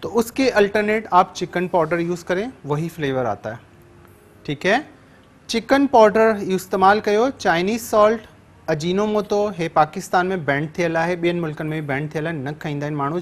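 A man's voice: sits high, normal speed. A man in his thirties with a Sindhi accent, hosting a TV cooking show from a studio kitchen and explaining each step as he cooks. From Sindh, Pakistan. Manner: instructive, repeats steps in two languages. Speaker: TV cooking show chef and host. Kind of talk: cooking demonstration, taking phone calls.

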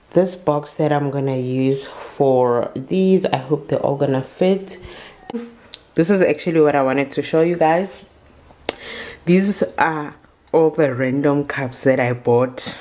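A woman, chatting casually in a home vlog with many ums. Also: rumbling low. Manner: chatty, relaxed, frequent ums. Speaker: vlogger. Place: home kitchen, indoors.

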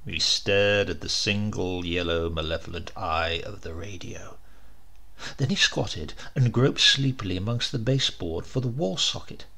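A man narrating a horror short story dramatically, with deliberate, suspenseful, theatrical emphasis. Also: low voice, creaky, pushed and bright. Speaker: audiobook narrator.

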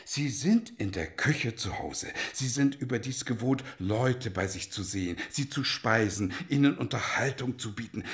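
An actor playing a worldly, avuncular godfather in a radio play, speaking in a theatrical, florid, deliberate way. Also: medium pitch, lightly acted. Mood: grandly encouraging. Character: worldly, avuncular godfather grandly advising a family. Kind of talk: German radio play acting.

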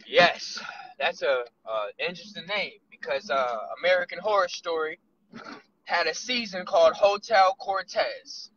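A man in his thirties vlogging from inside a car, musing loosely with drawn-out asides. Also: high voice, fairly bright tone, a little hiss or hum. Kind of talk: casual rambling monologue. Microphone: phone camera on dashboard. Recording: lo-fi phone audio.

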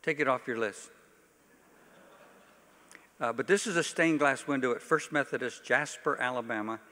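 A man's voice: medium pitch, moderate pace, bright, forceful tone. An older man, a pastor, giving an informal church teaching talk to an audience with folksy, dry-humoured asides. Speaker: pastor leading a workshop. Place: large hall, speaking to a conference audience. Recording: reverberant hall, long echo.

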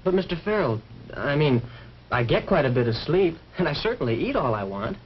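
A man's voice: on the high side, big pitch swings, fairly quick. An actor, a young-sounding man, playing a polite teenage schoolboy in a 1950s educational film. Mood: defensive. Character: polite, mildly defensive teenage schoolboy athlete. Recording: muffled, noisy old film soundtrack.

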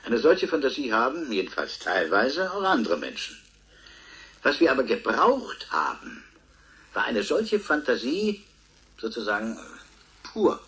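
Male professor for radio play interview: mid-pitched, very animated intonation, pointed, pedantic, defensive. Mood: explanatory, precise. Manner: pointed, pedantic, deliberate emphasis with hesitations. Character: proud, defensive male professor, Nobel-winning scientist. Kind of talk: radio drama played as a broadcast interview.

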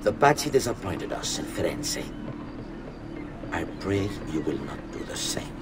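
An actor playing a commanding, menacing middle-aged master conspirator with an Italian accent, speaking deliberately in a dramatic game cutscene. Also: male voice, sits low, sing-song, background noise, full character voice. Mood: stern warning. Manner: imperious, deliberate.

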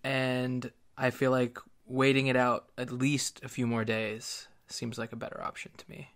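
A man's voice: medium pitch, completely flat delivery, normal speed, a bit bright, close to an everyday voice. A man playing a cautious, reluctant adventurer in a tabletop game, plain-spoken and reserved. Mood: wary.

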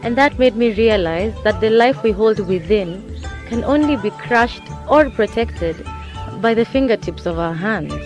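A woman's voice: mid-range voice, expressive, a little slow, music in the background, lightly acted. A woman playing a weary, sorrowful mother in a radio drama, reflecting on her past. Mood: regretful.

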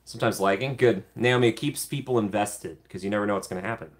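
A man's voice: on the low side, fast talker. A young man in his twenties hosting a casual livestream from a small room, chatting and answering viewer comments. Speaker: livestream host.

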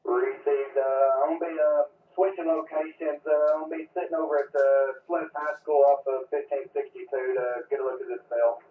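A man's voice: fairly high pitch, fairly even intonation, a little fast, hardly any pauses. A man's voice comes through a narrow, phone-like two-way radio. He speaks in a procedural, matter-of-fact way, and the sound is echoey and a little distant, with faint noise. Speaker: radio net operators and storm spotters. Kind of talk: amateur radio traffic.

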